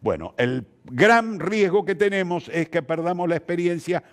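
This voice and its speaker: An older Argentine man with a Rioplatense accent speaks in a slightly high register, his pitch swinging widely. He explains ideas as if teaching, emphatic and thoughtful, posing questions and answering them himself. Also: expressive, moderate pace. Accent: Rioplatense Argentine Spanish. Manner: didactic, emphatic, rhetorical questions. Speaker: interview guest, theatre practitioner. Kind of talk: reflective explanatory conversation.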